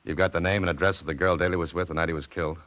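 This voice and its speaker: An actor playing a tough, dogged amateur sleuth in a 1940s radio detective drama, speaking and narrating tersely in a deadpan way. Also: male voice, low voice, very fast. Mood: terse, determined. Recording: muffled vintage radio recording with music underneath.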